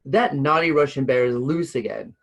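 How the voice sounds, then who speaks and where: A man's voice: medium pitch, normal speed, vocal fry, voice pushed up. A man reading the part of a dreamy, easily distracted young seamstress girl in a storybook, eager and full of excuses. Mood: excited excuses. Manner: eager, exclaiming, guiltily excusing herself.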